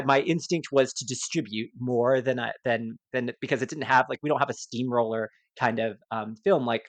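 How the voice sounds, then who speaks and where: Male podcast panelist, medium pitch, halting thinking-aloud delivery, dry close sound. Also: fairly bright tone, no echo. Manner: halting, repeats words as he reasons. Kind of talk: casual awards discussion.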